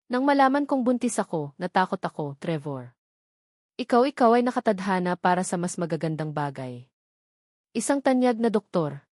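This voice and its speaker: A woman's voice: lowish voice, very animated, normal speed, darkish tone, no echo, clean studio sound. A woman voicing a frightened, defensive single mother burdened with guilt in a romantic drama story. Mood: tearful, guilty.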